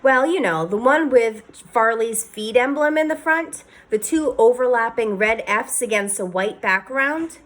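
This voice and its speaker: A woman's voice: a little high, expressive, unhurried, hardly any pauses, fairly bright tone, voice pushed up. Woman doing a folksy farmer dad. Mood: teasing. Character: easygoing farmer father, joking. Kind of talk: storybook read-aloud.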